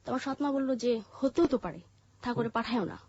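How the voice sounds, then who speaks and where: A woman with a Bangladeshi accent telling her life story in an interview, haltingly, with pauses and repeated phrases. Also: on the high side, animated, a little mellow, a little hiss or hum. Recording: muffled, dull lo-fi audio.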